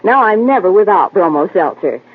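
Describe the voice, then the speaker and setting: An actress playing an earnest office worker giving a scripted testimonial in a 1940s radio commercial. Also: female voice, medium pitch, very animated, a little fast, husky, dry recording. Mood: earnest, satisfied. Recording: tinny, narrow-band old radio recording.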